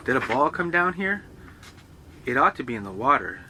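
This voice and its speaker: A man's voice: medium pitch, big pitch swings, moderate pace, bright, forceful tone, slight background noise, pitched above the performer's own voice, poor audio. A man voicing an impatient young golfer who questions the boys curtly. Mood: demanding.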